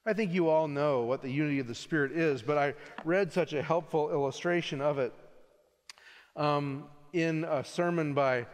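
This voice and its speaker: A man preaching a sermon as pastor, earnest and swelling louder on key points. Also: on the high side, moderate pace. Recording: long reverb in a large hall.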